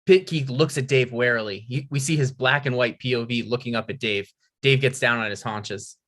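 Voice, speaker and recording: A man's voice: mid-range voice, normal speed, fairly bright tone. A man hosting a comedy table read, reading stage directions and introducing scripts casually.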